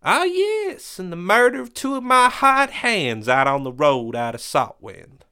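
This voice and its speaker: A man's voice: high voice, big pitch swings, a little slow, bright, forceful tone, clear, resonant tone, pitched above the performer's own voice, exaggerated voice. A man voicing a pompous, cruel and cowardly rich land baron in a western story, with haughty, showy speech. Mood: mocking.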